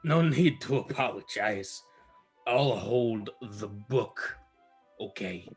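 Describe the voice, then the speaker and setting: A man's voice: lowish voice, lively intonation, slow pace, slightly rough, soft background music. A man on a gaming podcast, sardonic and swearing, often breaking into laughter. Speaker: let's play co-host. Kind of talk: casual podcast banter.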